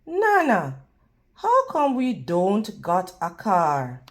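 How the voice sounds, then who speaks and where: A woman's voice: medium pitch, wildly swooping pitch, drawn-out words, exaggerated voice. Woman reading as a questioning young boy. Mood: complaining.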